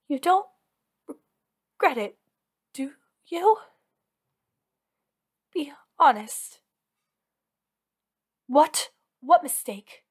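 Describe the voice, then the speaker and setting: A woman acts out a female villain in love, anxious, clingy and possessive. Her voice sits a little high. She talks one-on-one to her partner as in an ASMR audio drama, earnest and intimate, pleading and insisting with devotion. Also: exaggerated voice. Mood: defensive, insistent. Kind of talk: ASMR roleplay acting, one-sided dialogue.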